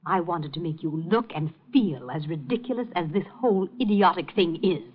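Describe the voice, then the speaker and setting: A woman's voice: fairly low pitch, expressive, moderate pace, lightly acted. An actress playing an icy, imperious, mocking woman in a 1950 radio drama, with a cold, clipped, commanding delivery. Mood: scornful. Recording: dull old radio recording, faint music.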